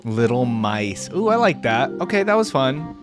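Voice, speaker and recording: A man hosting a reaction video with casual, slangy commentary and excitable exclamations. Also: mid-range voice, normal speed. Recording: music playing behind the voice. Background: game trailer music.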